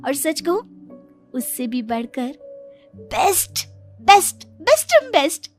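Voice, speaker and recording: A woman's voice: high voice, sing-song, slow, faint music behind, pitched above the performer's own voice, exaggerated voice. A woman voicing a meek, affectionate young daughter-in-law in a moral story cartoon, pleading and deferential.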